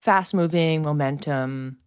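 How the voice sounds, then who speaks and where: Low-pitched woman, casual and giggly, dull recording. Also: smooth, no room sound. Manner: giggly, informal, halting and reflective. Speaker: psychic tarot reader. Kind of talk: casual tarot reading monologue.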